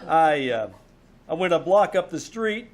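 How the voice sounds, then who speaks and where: High-voiced wisecracking radio private eye, played by a man. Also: animated, unhurried. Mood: wry narrating. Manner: wry, breezy first-person storytelling. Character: wisecracking, flirtatious male hard-boiled private detective narrating his case. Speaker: amateur radio troupe actor. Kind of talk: old-time radio detective drama. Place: small-town theater stage. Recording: live stage show with background music.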